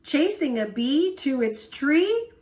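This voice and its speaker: A woman reads the part of an eager man on an old bike in a children's picture book. He speaks emphatically, urging everyone along. The voice is high for a man and leaps about in pitch. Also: very animated, slow pace, a little mellow, exaggerated voice. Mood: curious. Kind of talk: picture-book read-aloud.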